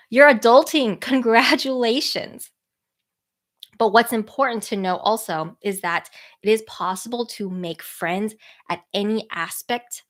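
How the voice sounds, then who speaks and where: Stuffy-nosed woman coaching listeners. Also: mid-range voice. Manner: earnest, encouraging, slowed by a stuffy nose. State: nasal from a cold. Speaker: people skills coach. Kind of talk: podcast live stream talk.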